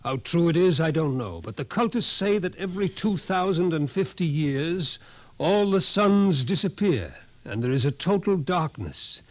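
A man playing a calm, ominous old professor. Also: on the high side, natural voice. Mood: explaining. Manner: measured, lecturing, quietly ominous. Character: older male psychologist, calm, knowing, ominous. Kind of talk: 1950s radio drama acting.

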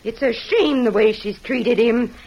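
An actress playing a domineering, scolding middle-aged farm wife in an old radio western. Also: female voice, on the high side, measured pace, breathy edge, highs cut off. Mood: bossy, indignant. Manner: sharp-tongued, domineering, indignant. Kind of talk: 1940s-50s radio western acting.

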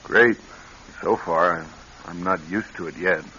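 A male actor playing a weary, guarded American ex-convict in a radio drama, plain-spoken and slangy with a husky voice. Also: sits low, a little slow, sharp tone, slight background noise. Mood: guarded, casual. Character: weary, guarded ex-convict, casual working-class man. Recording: dull vintage radio recording.

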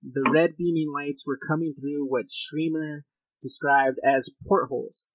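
A man's voice: on the high side, steady tone, unhurried, fairly bright tone, no room sound, low-quality recording. A man of thirty hosting a podcast in a casual, informal and self-deprecating way. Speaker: podcast host. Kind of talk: casual podcast talk and reading of accounts. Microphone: headset, recording at own setup.

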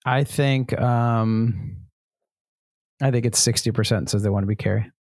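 A man co-hosting a podcast in casual chat, eager to share facts and quick to laugh. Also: mid-range voice, darkish tone, dry recording, studio quality. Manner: chatty, shares trivia facts, laughs often. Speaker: podcast co-host.

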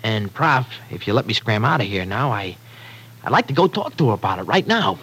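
An American actor playing a lovestruck young tough guy in an old-time radio drama, talking in halting, slangy, streetwise speech. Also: lowish voice, big pitch swings. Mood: self-deprecating. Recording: noisy vintage radio recording.

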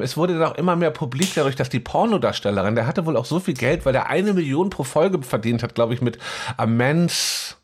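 A man chatting casually and bluntly as a podcast co-host, rambling and often stumbling over the starts of words. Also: mid-range voice. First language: German. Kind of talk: casual opinionated chat.

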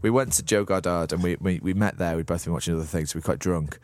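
A man with a Liverpool accent and a cold-worn hoarse voice, chatting casually on a podcast with halting restarts. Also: low voice, a little mellow, no room sound, studio quality. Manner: halting, lots of restarts and stammers. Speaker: podcast co-host. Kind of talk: casual chat.

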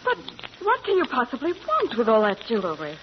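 A woman's voice: a little high, wildly swooping pitch, brisk. A woman acting as a doctor's prim assistant in a vintage radio drama, questioning earnestly and incredulously. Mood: shocked. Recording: muffled old radio broadcast, crackly noise.

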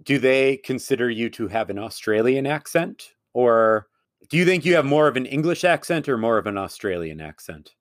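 A man's voice: medium pitch, animated, normal speed, no echo, clean studio sound. A man co-hosting a casual podcast, teasing his guest and joking through the banter. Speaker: podcast co-host.

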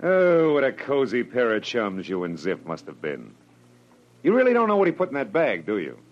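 An actor playing a tough, sardonic private detective in clipped, slangy 1940s radio style. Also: male voice, medium pitch, wildly swooping pitch, normal speed. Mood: wry, suspicious. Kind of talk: 1940s radio acting, first-person hard-boiled narration. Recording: dull old radio recording with music.

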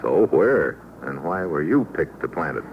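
An actor playing a cool, wry investigator who narrates his case in terse, deadpan slang, in an old-time radio noir drama. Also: rumbling low, measured pace, full character voice. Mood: brisk, serious. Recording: muffled, noisy vintage radio recording.

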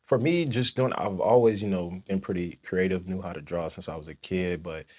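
A man's voice: low voice, moderate pace, darkish tone. A young man from St. Louis, a former football player, talking casually as an interview guest, rambling with lots of "you know" fillers. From St. Louis, Missouri. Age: young adult.

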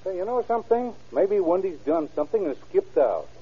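Eager folksy american sidekick, highish husky voice, old radio drama, muffled sound. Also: male voice, sing-song, a little fast, heavily acted. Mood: speculating. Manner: folksy, inquisitive.

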